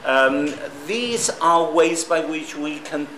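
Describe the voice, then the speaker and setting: Halting male conference speaker in an echoey room. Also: fairly high pitch, lively intonation, fairly bright tone. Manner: halting, hesitant, with frequent pauses and repeated starts. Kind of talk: semi-prepared conference talk. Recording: roomy echo with faint background noise.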